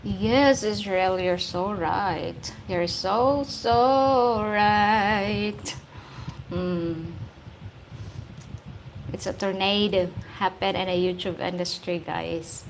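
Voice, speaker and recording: A woman from the Philippines with a Filipino accent hosts a casual livestream, hoarse-voiced, chatting, laughing often and greeting viewers by name. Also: medium pitch, drawn-out words, slight background noise, poor audio. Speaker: YouTube host. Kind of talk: casual livestream chat reading viewer comments.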